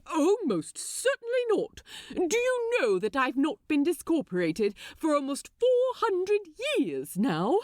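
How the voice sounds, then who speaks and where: A woman's voice: sits high, wildly swooping pitch, slow, darkish tone, no room sound, studio quality, pitched above the performer's own voice, exaggerated voice. A woman voicing an anxious, prim male angel in an audiobook, with a fussy, flustered and formal manner. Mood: alarmed protest.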